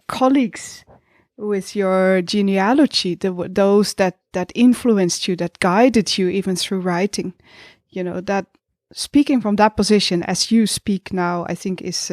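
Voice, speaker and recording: A woman co-hosting a reflective intellectual discussion, speaking deliberately with pauses between her phrases. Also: medium pitch, a little mellow, no echo, clean studio sound.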